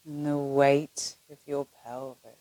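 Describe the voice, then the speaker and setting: A woman with a low voice leads a guided yoga nidra meditation. She speaks very slowly and gently, leaving long pauses between soothing phrases. The recording is close and dry, with little sense of the room. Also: little pitch movement, no room sound, low-quality recording.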